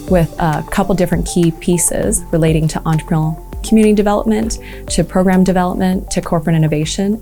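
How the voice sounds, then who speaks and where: Soft-toned woman with light music behind. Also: on the low side. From Malaysia. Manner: earnest, reflective, frequent ums and uhs. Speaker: entrepreneurship program leader. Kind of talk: speaking to camera about her work.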